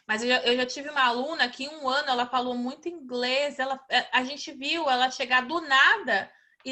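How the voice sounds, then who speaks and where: Brazilian woman, English teacher host, bright high tone, agreeable casual chat. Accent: Brazilian Portuguese. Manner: agreeable, many filler tags and restarts. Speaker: English teacher hosting a mentoring talk. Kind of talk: casual conversation.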